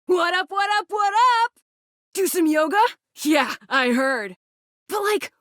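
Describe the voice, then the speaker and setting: A woman's voice: very high voice, fairly bright tone, dry recording, clean studio sound, exaggerated voice. A woman playing a pushy, upbeat weightlifting gym pal in a video game, talking in brash bro slang. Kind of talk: video game character acting.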